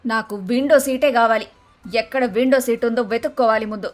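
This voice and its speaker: A high-pitched, brusque mother-in-law from a cartoon moral tale, played by a woman. She scolds and orders people about with emphasis. Faint music sits under the voice. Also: fairly even intonation, a little fast, lightly acted. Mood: impatient, stubborn. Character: bossy scolding middle-aged Telugu mother-in-law. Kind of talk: dramatised moral story voice acting.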